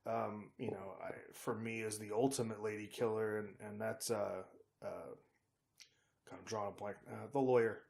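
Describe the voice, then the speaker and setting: A man's voice: fairly low pitch, fairly even intonation, normal speed, vocal fry, a little mellow. A man co-hosting a casual true-crime podcast, talking conversationally and often groping for words and names. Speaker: podcast co-host.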